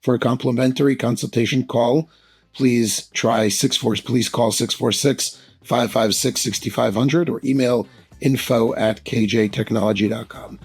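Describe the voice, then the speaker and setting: A man hosting a podcast, with a raspy voice, speaking in an enthusiastic, affirming way. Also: medium pitch, fairly even intonation, a little slow. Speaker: podcast host. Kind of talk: casual interview talk.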